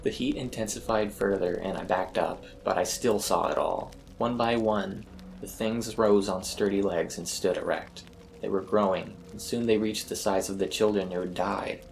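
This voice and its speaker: A man's voice: lowish voice, steady tone, moderate pace, creaky, a little hiss or hum, rough recording. A man narrating a scripted first-person horror story as its storyteller. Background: eerie ambient music.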